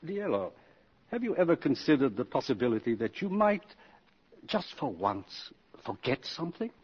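An actor playing a genteel, wistful British ambassador in a radio drama, courtly and gently wry. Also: male voice, mid-range voice, very animated, moderate pace, slightly rough. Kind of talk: 1950s radio drama acting. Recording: muffled old radio broadcast recording.

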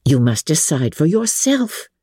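Woman acting a witty, assured young sister, very expressive. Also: low-pitched, a little slow, breathy edge, dry recording, clean studio sound, full character voice. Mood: wry. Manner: assured, wry, argumentative, formal period speech. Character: brisk, witty, reasoning younger sister in a classic novel. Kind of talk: dramatic audiobook reading.

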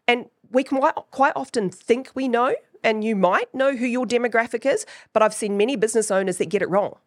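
A woman's voice: medium pitch, dry recording, studio quality. A woman with a New Zealand accent hosting her own podcast, teaching in a chatty way and often checking in with "right?". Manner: chatty, keeps asking "right?". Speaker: podcast host.

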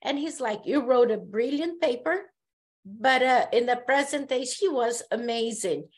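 A woman from Brazil with a Brazilian Portuguese accent, a therapist speaking as a podcast guest, telling her story earnestly with halting restarts. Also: fairly high pitch, expressive. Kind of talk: casual conversation.

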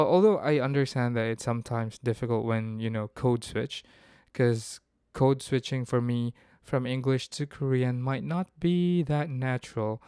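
A man's voice: mid-range voice, a little mellow, clear, resonant tone, no room sound, studio quality. A man from the Philippines, hosting a casual solo podcast and thinking aloud with frequent restarts. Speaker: podcast host.

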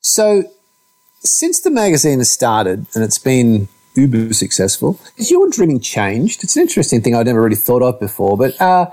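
A man's voice: mid-range voice, wildly swooping pitch, moderate pace, dark tone, poor audio. A man hosting a radio show, bantering jovially with his co-host and guest. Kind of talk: casual podcast chat.

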